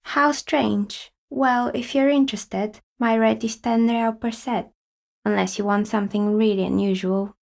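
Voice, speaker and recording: A dry, pragmatic dicemaker lady for a video game, breathy gravelly voice, really close dry sound. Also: a little high, steady tone, unhurried, a little mellow, no echo. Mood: calm, businesslike. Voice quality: husky, breathy with some creak. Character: wry, pragmatic woman craftsperson, a novelty dicemaker. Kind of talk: video game character dialogue.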